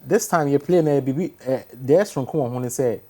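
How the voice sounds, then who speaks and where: A man's voice: medium pitch, moderate pace, warm tone. A man with a Ghanaian accent answering casually as a guest in an interview.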